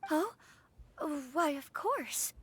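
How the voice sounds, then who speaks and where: Brief: a young noble bride, high soft voice, earnest, dry close sound. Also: female voice, expressive, very slow, dry recording, heavily acted. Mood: polite. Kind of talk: game voice acting.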